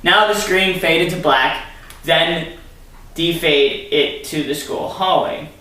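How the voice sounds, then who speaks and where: A man's voice: on the high side, slow, bright, forceful tone. A man reading a story aloud theatrically, breaking in with sarcastic asides as commentary. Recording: very echoey room, distant mic.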